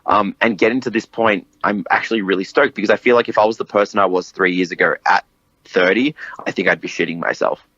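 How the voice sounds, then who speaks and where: A man's voice: lowish voice, steady tone, fast, fairly bright tone, no room sound, muffled recording. A man in his late twenties hosting a podcast, chatting casually and animatedly, swearing and laughing often. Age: 20s. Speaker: podcast host. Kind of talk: casual conversation.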